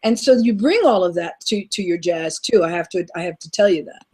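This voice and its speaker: A woman's voice: fairly low pitch, animated, brisk, dark tone, breathy edge. A woman hosting a casual online talk show, effusive and full of compliments, stumbling over repeated words. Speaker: host. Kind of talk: casual chat.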